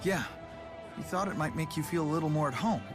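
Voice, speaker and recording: A man's voice: on the high side, a little fast, lightly acted. An actor playing a friendly, polite, earnest young man in a video game cutscene, casual and eager to please. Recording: music under the voice.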